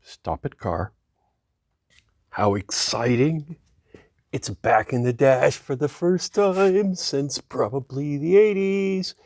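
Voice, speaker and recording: A man's voice: a little high, expressive, slow pace, a little mellow, no echo. A man hosting a car hobby video, narrating his hands-on work casually, musing aloud and easily amazed. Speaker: host of a car hobby channel.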